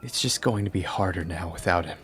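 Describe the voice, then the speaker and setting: A man's voice: sits low, a little fast. A man playing an earnest grieving warrior. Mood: somber. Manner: quiet, earnest. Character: young male warrior, adopted son, grieving.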